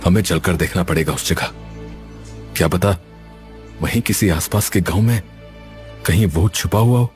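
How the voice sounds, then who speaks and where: A man voicing an anxious male scientist in a cartoon story who speaks in a low, worried way. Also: normal speed, long pauses, darkish tone, faint music behind, lightly acted.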